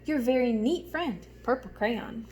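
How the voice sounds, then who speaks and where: A woman's voice: a little high, measured pace, darkish tone, slight background noise, close to an everyday voice, poor audio. A woman voicing a neat, fussy purple crayon from a picture book, scolding insistently. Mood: exasperated.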